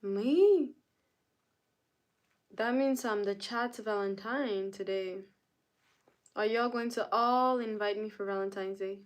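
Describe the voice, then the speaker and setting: Slow-talking woman chatting with stream viewers. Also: medium pitch. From Canada. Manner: greets viewers by name and answers chat comments. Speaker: livestreamer. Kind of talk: casual live-stream chat with viewers.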